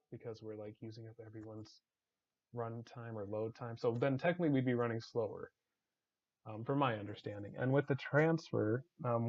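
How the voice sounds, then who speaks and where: A man hosting a casual online community discussion, hesitant with many ums and restarts, his voice slightly raspy. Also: mid-range voice, a little mellow, dull audio. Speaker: community staff host.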